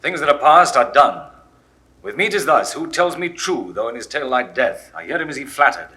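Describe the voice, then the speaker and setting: A lively, bright-voiced Roman general. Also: male voice, mid-range voice, brisk. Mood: commanding. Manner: declamatory classical verse. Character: commanding male Roman general in love. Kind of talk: 1960s classical stage audio drama.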